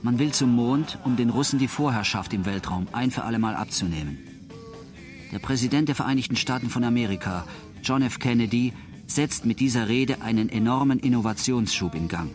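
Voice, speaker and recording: A man narrating a scripted radio documentary in short, punchy sentences. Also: medium pitch. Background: instrumental music.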